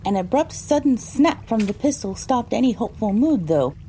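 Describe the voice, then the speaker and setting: A woman's voice: fairly high pitch, animated, moderate pace, mellow, slight background noise, rough recording. A woman voicing a pony who narrates her own story, reading scripted first-person audio drama narration. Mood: measured.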